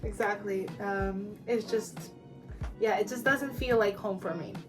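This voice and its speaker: A woman's voice: medium pitch, unhurried, music in the background, poor audio. A young woman from Croatia, a co-host and student, talking frankly and casually. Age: young adult. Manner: frank and easygoing, hedging with "like" and "just". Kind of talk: casual chat.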